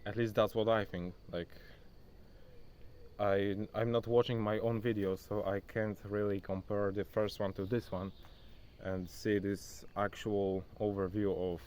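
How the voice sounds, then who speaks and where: Low-voiced young man chatting outdoors. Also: flat delivery, unhurried, darkish tone, slight background noise. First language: not English. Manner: loose and chatty, says "bro", repeats "I, I". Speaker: vlogger. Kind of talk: casual self-recorded vlog talk. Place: outdoors, rural spot near a forest. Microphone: phone. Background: faint insects and outdoor nature sounds.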